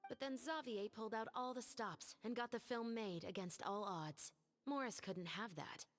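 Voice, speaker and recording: An actress playing a composed, principled young female police captain who speaks in a measured, formal way in a video game. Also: on the high side, big-room sound, soft background music, lightly acted. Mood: assured. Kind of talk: scripted video game voice acting.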